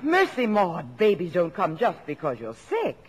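An old radio broadcast with audible audience has a middle-aged mother. She is refined and tender, fussing over everyone, and her pitch swoops dramatically from soft soothing to fluttery concern. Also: huge pitch swings, unhurried, some chatter behind, slight background noise. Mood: amused, evasive. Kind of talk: 1950s American radio drama acting.

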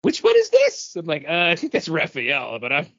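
A man co-hosting a podcast, talking casually and earnestly as a fan, with pauses and emphatic praise. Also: fairly high pitch, wildly swooping pitch, a bit bright, muffled recording. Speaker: podcast co-host. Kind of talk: casual geek-culture panel chat.

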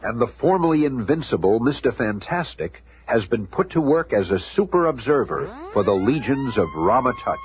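A man's voice: medium pitch, big pitch swings, normal speed, hardly any pauses. A man narrating a superhero radio serial in a dramatic, grandiose, suspenseful scripted style. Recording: dull old radio, clear noise behind.

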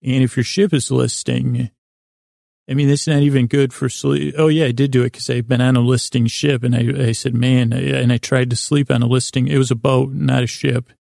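A man hosting a sleep podcast, meandering through a halting, self-correcting bedtime monologue in a creaky voice. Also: mid-range voice, little pitch movement, darkish tone, no echo, clean studio sound.

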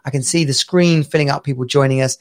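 A man's voice: medium pitch, steady tone, fast. A man co-hosting a live-streamed chat show, rambling and chatty, laughing often. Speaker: podcast co-host.

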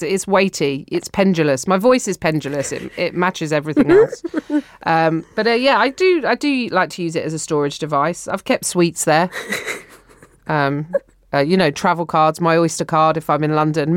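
Low-voiced, rambling bawdy comedian. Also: female voice, animated, moderate pace, no room sound, clean studio sound. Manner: rambling, stammering restarts, bawdy. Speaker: guest co-host. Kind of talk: improvised comedy banter. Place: studio in New York.

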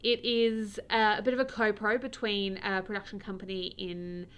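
A woman's voice: medium pitch, flat delivery, normal speed, a bit bright, clear, resonant tone. A woman co-hosting a podcast in casual chat, chatty and explaining at length with frequent laughs. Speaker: podcast co-host.

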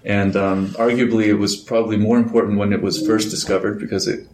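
A man from Iceland with a fairly low voice, explaining technical work at a calm, measured pace. He is recorded in a roomy space with noticeable echo. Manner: measured, explanatory, with small laughs. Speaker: interview guest, engineer. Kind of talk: casual interview.

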